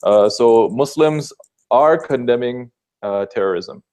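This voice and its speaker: A man from Sri Lanka, speaking as an interview guest. He explains his points earnestly and patiently, often pausing on filler sounds. His voice is mid-pitched and plain. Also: darkish tone, clear, resonant tone. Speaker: guest answering questions about Islam. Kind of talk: casual interview answers.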